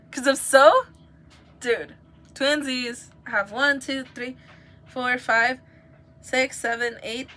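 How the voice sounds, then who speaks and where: A woman's voice: fairly high pitch, sharp tone. A young woman from the United States, chatting casually and giggling as she rambles to viewers on a livestream.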